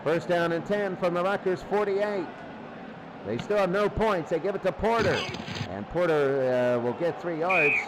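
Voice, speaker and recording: High-voiced man calling football over noise. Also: moderate pace. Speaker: play-by-play sports commentator. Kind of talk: American football radio-style play-by-play commentary.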